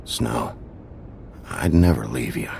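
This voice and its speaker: An actor playing a tough, wounded, stoic sheriff in a video game, gruff, guarded and terse. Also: male voice, rumbling low, animated, slow pace, a little mellow, slight background noise, heavily acted. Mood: stoic, reassuring.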